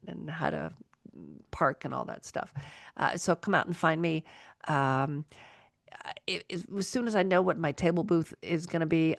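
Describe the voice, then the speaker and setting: A woman podcast host with a lowish, very creaky voice, recorded dry and close. She chats casually and rambles, often cutting herself off, and sounds like she has a cold. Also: dry recording. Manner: rambling, self-interrupting. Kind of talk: casual chat.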